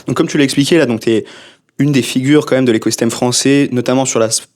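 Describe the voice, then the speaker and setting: A man's voice: mid-range voice, fairly even intonation, fast talker, darkish tone. Upbeat male co-host. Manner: brief affirmations, upbeat questions. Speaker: podcast co-host.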